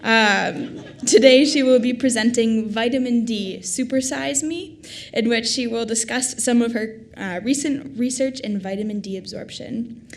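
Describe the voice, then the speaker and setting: A woman's voice: on the high side, a little slow. A young woman in her twenties, a college student, reading a formal introduction in a lecture hall with hesitant fillers. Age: 20s. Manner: reads with nervous fillers.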